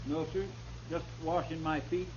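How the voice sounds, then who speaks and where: An American actor playing an eager, polite, slightly hesitant marshal's helper in a radio western. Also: male voice, fairly high pitch, flat delivery, normal speed, close to an everyday voice. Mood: sheepish. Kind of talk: 1950s radio western acting. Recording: muffled, noisy old radio recording.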